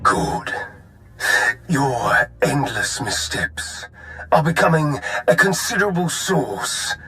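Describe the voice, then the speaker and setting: An actor playing a menacing, disdainful Sith lord in a video game, speaking slowly and deliberately. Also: male voice, a little high, animated, sharp tone, slight background noise, exaggerated voice. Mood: cold disdain.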